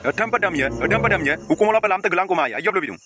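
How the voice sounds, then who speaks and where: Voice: medium pitch, animated, quick pace, over music, lightly acted. An actor voicing a scolding, exasperated schoolteacher in a cartoon comedy.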